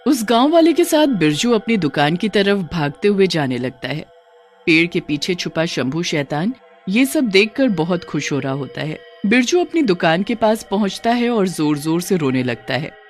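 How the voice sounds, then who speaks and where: Lively storytelling narrator with music behind. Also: medium pitch, moderate pace. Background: background music.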